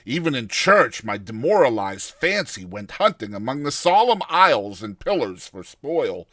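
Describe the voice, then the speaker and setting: A man reading a classic short story aloud as a dramatized first-person narration, with a theatrical, expressive delivery. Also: medium pitch, normal speed, no room sound, clean studio sound.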